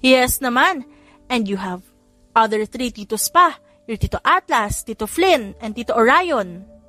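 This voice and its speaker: A woman voicing a bubbly, excitable young aunt in an audiobook, gushing and exclaiming as she mixes English into Tagalog. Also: a little high, measured pace, no room sound, soft background music, full character voice. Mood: cheerful. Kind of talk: audiobook character voice.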